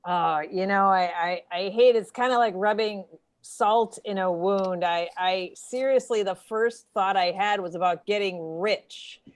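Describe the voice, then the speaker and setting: A woman's voice: medium pitch, unhurried, dry recording, close to an everyday voice. A woman playing a hesitant, self-deprecating celebrity panelist in an improvised comedy game show. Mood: rueful.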